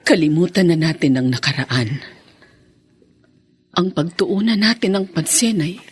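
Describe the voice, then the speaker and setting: A woman playing a gentle, repentant middle-aged mother with a weak, breathy voice in a Filipino radio drama. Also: fairly low pitch, no echo, heavily acted. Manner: gentle, pleading. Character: repentant, grateful mother recovering from harm.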